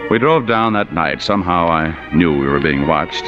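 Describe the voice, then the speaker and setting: An actor playing a wisecracking, hard-boiled private detective in a 1940s radio noir, with a dry, sardonic delivery. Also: male voice, sits low, lively intonation, normal speed, loud music behind the voice. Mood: brooding, wry. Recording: dull vintage radio broadcast recording.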